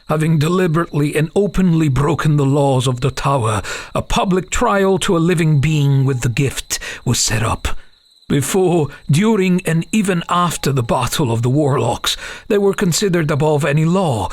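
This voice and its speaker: A man's voice: a little high, no room sound, lightly acted. A man playing an uneasy half-elven father who tells a dramatic tale to his young daughter in a fantasy audio drama. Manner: hesitant openings, dramatic storytelling.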